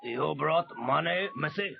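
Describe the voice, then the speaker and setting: A man's voice: on the high side, expressive, a little slow, vocal fry, noisy background, exaggerated voice. A man playing a shifty, greedy river pirate captain with wheedling broken English in a children's audio story. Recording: dull vintage cassette.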